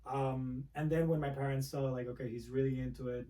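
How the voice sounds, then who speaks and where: A man from the Republic, a guest speaking softly and reflectively in a casual interview. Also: medium pitch, steady tone, brisk, far from the mic. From Dominican Republic. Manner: soft-spoken, reflective, frequent restarts. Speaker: guest, music producer.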